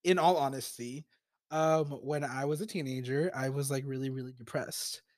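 A young man co-hosting a podcast in casual chat, soft-spoken and hesitant, with many ums. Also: medium pitch, normal speed, no echo, studio quality. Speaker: podcast co-host.

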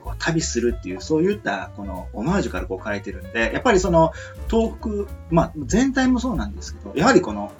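Animated male host, muffled lo-fi call. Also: medium pitch. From southern Japan. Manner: halting, filler-heavy, rambling, eager nodding along. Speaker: radio show host. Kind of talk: casual interview chat. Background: background guitar music.

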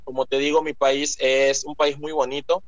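A man's voice: medium pitch, little pitch movement, normal speed, no room sound. A young man from Ecuador, a guest author talking casually and rambling with many fillers and restarts. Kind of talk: casual interview talk. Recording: muffled lo-fi sound.

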